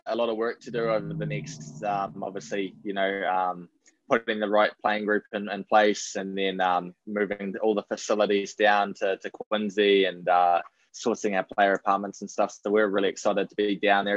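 Guy with a low flat voice, slow and careful, lots of ums, muffled dry audio. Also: dry recording. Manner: measured, hesitant, many fillers. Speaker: guest, team performance director. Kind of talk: casual podcast interview.

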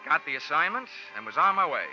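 An actor playing a cool, wisecracking insurance investigator in clipped 1940s hardboiled radio style. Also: male voice, on the high side, very animated, fast talker. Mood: dry narration. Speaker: lead character and narrator. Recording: dull 1940s radio recording, music behind.

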